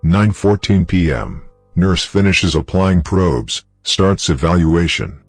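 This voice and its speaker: A man's voice: sits low, lively intonation, slow, dry recording, soft background music, exaggerated voice. A man playing a formal, detached researcher reading out a clinical case log in a scripted horror story.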